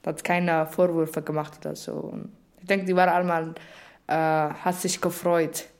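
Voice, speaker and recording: A woman's voice: lowish voice, flat delivery, moderate pace, a little mellow. A woman with a foreign accent, speaking non-native German off the cuff in a plain way, searching for words now and then. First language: not German. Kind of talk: spontaneous spoken opinion and retelling.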